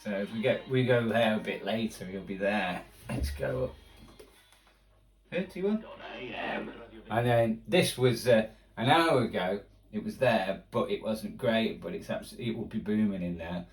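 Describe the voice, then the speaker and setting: Low-voiced man rambling, mic at a distance. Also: unhurried. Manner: rambling, hesitant, thinking aloud. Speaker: shortwave radio hobbyist presenter. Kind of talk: casual unscripted commentary while tuning a radio. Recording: distant mic with radio audio behind.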